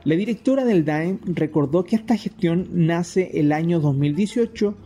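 A man's voice: fairly high pitch, normal speed, mellow, faint music behind. A man narrating a scripted news report.

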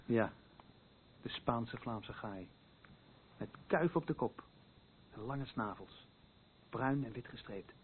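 A man's voice: mid-range voice, slow pace, pauses often, a little hiss or hum, lightly acted. Een acteur die in een hoorspel een nuchtere, directe man speelt, de vertrouweling van een vrouw, kort en zonder omwegen. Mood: matter-of-fact. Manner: plain-spoken, terse. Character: blunt, down-to-earth man, the troubled woman's confidant. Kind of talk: radio play acting. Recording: muffled narrow phone-like sound.

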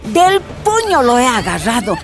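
A woman's voice: fairly high pitch, big pitch swings, unhurried, over loud music, over a noisy crowd. An actress playing a tortured woman activist, recounting her imprisonment in anguished, emphatic first-person narration.

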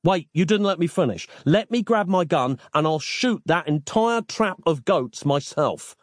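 A man's voice: a little high, animated, a little slow, no room sound, studio quality, voice pushed up. A man voicing an exasperated, sarcastic modern man who complains incredulously in an audio story. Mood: snapping.

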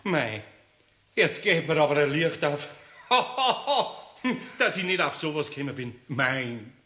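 A man's voice: a little high, big pitch swings, pushed and bright, exaggerated voice. A man with a Bavarian accent playing a kindly, good-natured older master carpenter in a radio play, chuckling heartily. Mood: amused. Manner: chuckling, hearty, drawn-out exclamations. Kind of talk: 1960s German radio play acting.

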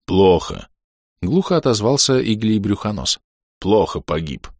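A man's voice: mid-range voice, sing-song, normal speed, no room sound, studio quality, natural voice. A man voicing the sullen ghost of an old ship's carpenter, talking in a gloomy, grudging, folksy sailor manner. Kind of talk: audiobook character voice.

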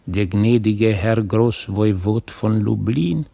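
An actor playing a folksy, lamenting news-bearer, rambling obsequiously in dialect-coloured speech in a radio play. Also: male voice, fairly low pitch, slow pace, creaky, mellow, heavily acted. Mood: deferential, sly. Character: folksy, lamenting gossip bringing news to his master. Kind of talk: theatrical radio drama acting.

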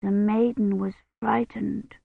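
A woman's voice: expressive, a little slow. A woman voicing an eager, insistent little girl in a storybook, with a small childlike voice. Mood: frightened. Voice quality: small, breathy. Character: eager little girl prompting and judging a bedtime tale. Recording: roomy, muffled low-fidelity audiobook.

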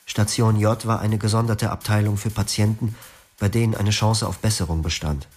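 A young former psychiatric patient who narrates a radio drama in the first person. The voice is low and the tone is quiet and melancholic. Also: male voice, steady tone, normal speed. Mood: reflective. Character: young male patient recalling his clinic past.

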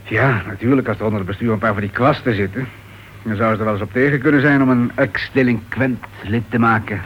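On a muffled old radio drama recording with some noise, a man plays a touchy writer husband. He grumbles impatiently and sarcastically, full of his own importance, in a medium-pitched voice. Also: fast, lightly acted. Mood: grumbling, sarcastic. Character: irritable, self-important male crime writer, husband at home. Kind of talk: radio play acting. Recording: dull, noisy old radio play recording.

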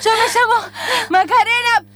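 A woman acting a panicky, whiny young cyclist with a shrill, wailing delivery in improvised radio theatre. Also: steady tone, normal speed, a crowd in the background. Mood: distraught.

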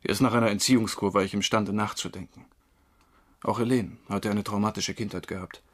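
An actor playing a troubled former drinker, wrongly convicted, confessing haltingly in a crime radio drama, with a husky voice. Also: male voice, on the low side, fairly even intonation, natural voice. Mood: hesitant, confessional. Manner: halting, brooding.

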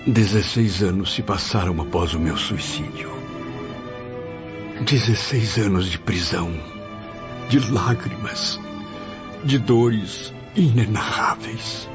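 An actor in a radio drama playing an anguished, fearful spirit of a writer, speaking in formal, solemn language. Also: male voice, fairly low pitch, lively intonation, slow pace, long pauses. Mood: sorrowful. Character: Camilo, anguished, fearful male writer's spirit, long dead by suicide. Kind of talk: Brazilian radio drama acting. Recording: dull lo-fi radio drama recording, music bed. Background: music.

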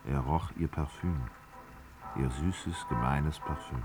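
A man narrating a literary radio drama in a measured, articulate, scripted manner. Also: a bass voice, loud music behind the voice.

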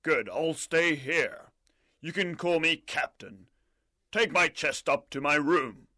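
A man putting on a gruff old sea captain. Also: fairly high pitch, animated, takes it slowly, pushed and bright, no room sound, studio quality, pitched above the performer's own voice, exaggerated voice. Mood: commanding. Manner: barking orders. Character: gruff old male sea captain, hard-drinking, fearful.

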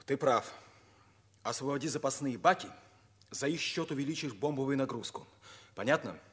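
An actor playing an ardent, commanding young pilot captain with a husky voice in a 1950s radio play. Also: male voice, mid-range voice, normal speed, a bit bright. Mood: commanding, urgent.

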